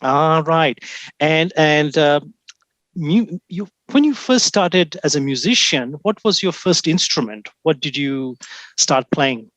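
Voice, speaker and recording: A man's voice: fairly high pitch, animated, takes it slowly, dry recording, low-quality recording. A man hosting an interview, asking questions and often murmuring agreement. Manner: warm encouraging listener, many backchannels. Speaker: interview host. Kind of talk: casual interview.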